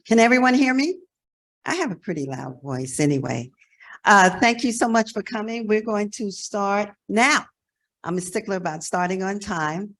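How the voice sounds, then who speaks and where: A woman's voice: mid-range voice, lively intonation, normal speed. An American woman in her sixties giving a conference presentation in a frank, emphatic, conversational way. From New Jersey, United States. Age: 60s. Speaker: presenter, nonprofit executive director.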